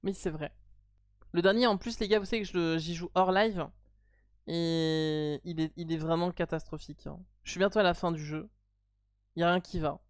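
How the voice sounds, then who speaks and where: A French woman streamer chats casually with her partner, blunt and playfully teasing. Her voice is smooth and clear, in a dry close sound. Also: lowish voice, steady tone, moderate pace, no room sound. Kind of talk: casual live stream chat.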